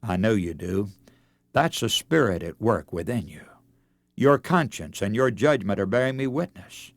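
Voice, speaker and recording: Male evangelist, older, for a radio sermon. Fervent, oratorical read with wide swings of pitch, mid-range voice, dry sound. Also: lively intonation, normal speed, dry recording. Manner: oratorical, fervent, with rhetorical repetition and questions. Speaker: evangelist preacher.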